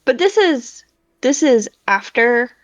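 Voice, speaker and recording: A woman's voice: high voice, sing-song, slow pace, no room sound. A woman playing a tabletop roleplaying game, casually narrating and discussing her character's moves, on lo-fi audio.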